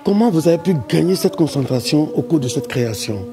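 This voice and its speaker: A man's voice: a little high, animated, a little fast. A man interviewing cast members at a rehearsal, leading and finishing their sentences. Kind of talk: backstage interview. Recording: music playing behind.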